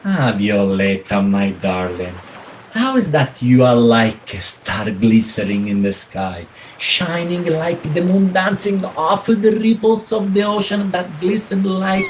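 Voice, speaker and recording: An actor playing a smitten romantic wooer in a radio play, florid and effusive. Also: male voice, fairly high pitch, expressive, a little slow, a little hiss or hum, low-quality recording. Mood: adoring.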